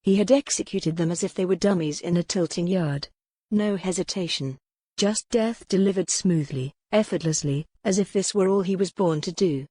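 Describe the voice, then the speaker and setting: A woman narrates a historical romance audiobook from a script. Her voice sits a little low for a woman, carrying dramatic prose. The sound is dry, with no room echo. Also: normal speed, warm tone, breathy edge.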